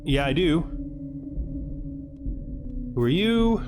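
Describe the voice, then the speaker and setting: A man's voice: on the high side, expressive, extremely slow. A man giving casual let's-play commentary, thinking aloud in fragments and asking himself questions as he goes. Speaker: gaming video host. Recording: music behind the voice. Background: background music.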